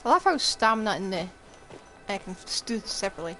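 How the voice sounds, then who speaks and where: A woman's voice: mid-range voice, sing-song, fast, pushed and bright, soft background music. A woman doing live gameplay commentary, thinking aloud with blunt remarks. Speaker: game streamer.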